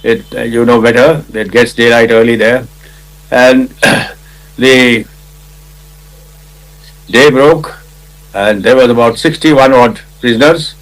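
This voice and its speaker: An older Indian man, a retired army officer, recounting a battle in conversational detail, with a loud commanding voice, acting out quotes and often clearing his throat. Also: mid-range voice, steady tone, takes it slowly, long pauses, poor audio. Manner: loud commanding voice, vivid retelling with acted quotes. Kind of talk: conversational war storytelling.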